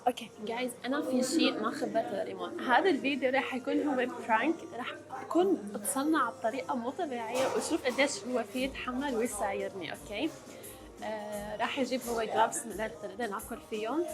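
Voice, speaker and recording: A woman's voice: on the high side, fast, over music. A woman in her early twenties with a Levantine Arabic accent, chatting casually to camera as a vlog host and slipping in English words. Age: early 20s.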